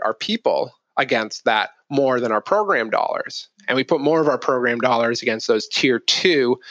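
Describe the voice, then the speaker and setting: A man's voice: mid-range voice, a bit bright, no room sound, dull audio. A man explaining business informally as a podcast guest, thinking aloud and correcting himself as he goes. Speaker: podcast guest, marketing executive.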